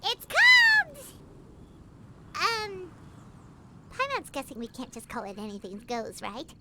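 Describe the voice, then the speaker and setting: A tiny, chatty fairy companion in a video game, with a very high, squeaky voice that swoops up and down. She keeps calling herself by her own name in the third person. Loud background noise and clicks run under the game audio. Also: wildly swooping pitch, slow pace, heavily acted. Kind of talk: video game cutscene voice acting.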